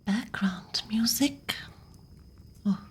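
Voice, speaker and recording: A woman streaming ASMR, whispering and speaking very softly as she chats casually with her viewers. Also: mid-range voice, expressive, extremely slow. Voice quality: breathy, whispered. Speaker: streamer hosting a live ASMR reading. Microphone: close microphone, slightly off to the side.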